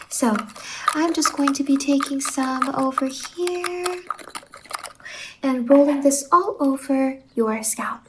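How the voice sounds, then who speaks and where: A woman playing a sweet, bubbly stylist from a dream land in an ASMR roleplay, speaking softly and often slipping into whispers. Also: high-pitched, slow pace, pauses often, background noise. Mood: cheerful, attentive. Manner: gentle, doting, chatty, often dropping into whispers. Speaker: ASMR performer.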